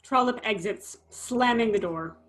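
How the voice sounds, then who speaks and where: Unhurried woman reading stage directions. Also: fairly high pitch, fairly bright tone. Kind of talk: scripted narration.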